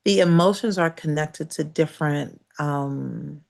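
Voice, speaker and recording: American lady doing a casual wellness live, really low voice, lots of fry, chatty and talking right to viewers. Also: unhurried, a little mellow. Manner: conversational, rhetorical questions, addresses listeners directly. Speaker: acupuncture practitioner hosting a live wellness talk. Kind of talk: casual educational livestream talk.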